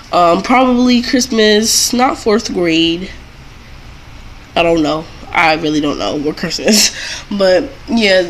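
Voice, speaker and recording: A woman's voice: on the low side, a bit bright, a little hiss or hum, low-quality recording. A young adult woman telling a casual, chatty story to viewers, rambling and often circling back to repeat herself. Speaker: doll collector vlogger telling a story.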